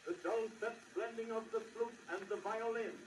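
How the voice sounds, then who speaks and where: A man's voice: high-pitched, steady tone, moderate pace, roomy sound, far from the mic, music in the background. A man making a formal, declamatory sales announcement, heard from a scratchy acoustic-era 78rpm record. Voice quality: declamatory, projecting. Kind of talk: early 1900s sales announcement. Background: hiss and crackle.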